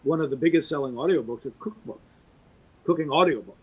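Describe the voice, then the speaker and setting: Husky, emphatic man on a soapbox. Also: brisk, low-quality recording. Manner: emphatic, wide pitch swings, talks at length. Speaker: guest. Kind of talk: podcast interview, opinionated monologue.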